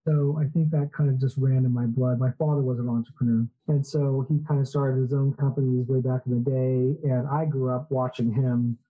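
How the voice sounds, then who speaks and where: Laid-back husky guy in his 40s, chatty guest, kinda muffled audio. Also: mid-range voice, fairly quick, few pauses. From Southern California. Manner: relaxed storytelling, many fillers. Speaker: podcast guest, entrepreneur. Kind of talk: casual interview chat.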